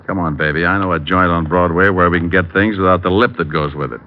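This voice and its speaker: A dull vintage radio recording carries an actor playing a low-voiced private detective. He is flippant and slangy, a man who teases everyone with breezy wisecracks in old radio drama style. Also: fast, slight background noise, natural voice. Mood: irked. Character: wisecracking, playful male private detective, teasing his girlfriend. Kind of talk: 1940s-50s radio detective drama acting.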